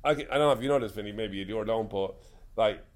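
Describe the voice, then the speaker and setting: An Irish man in casual conversation, telling animated stories, swearing freely and laughing as he goes. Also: lowish voice, quick pace. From Ireland. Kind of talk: casual chat.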